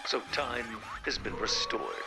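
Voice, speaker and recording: A man's voice: mid-range voice, takes it slowly, music in the background. A man playing a nervous, chatty, well-meaning video game character with a wry, hesitant delivery. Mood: uneasy, doubtful.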